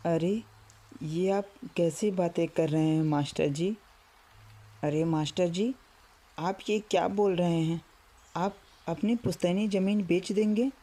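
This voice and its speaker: A woman's voice: lowish voice, measured pace, dark tone. A woman voicing an earnest, worried villager in a cartoon story. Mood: surprised. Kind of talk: Hindi cartoon story dialogue.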